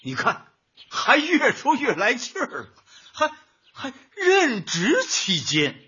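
A man's voice: very high-pitched, wildly swooping pitch, takes it slowly, bright, forceful tone, husky, no echo, muffled recording, heavily acted. An actor playing a chatty, wordy older male neighbour in a radio comedy, with effusive, slightly bookish speech. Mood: exasperated. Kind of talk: radio comedy drama acting.

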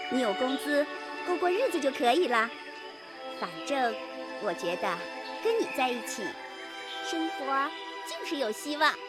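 A sweet young bride in a radio drama, with a high voice. She is affectionate and gently teasing. Music plays clearly behind her. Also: sing-song, measured pace. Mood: tender. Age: young adult. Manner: tender, playful. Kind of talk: Chinese radio drama acting.

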